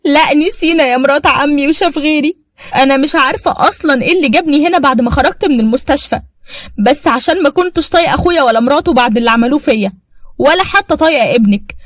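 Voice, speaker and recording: A woman's voice: expressive, quick pace, a little mellow, dry recording, studio quality. A woman with an Egyptian accent voicing a distraught young wife who pleads and then rages. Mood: furious. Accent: Egyptian Arabic. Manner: pleading, then shrill and raging.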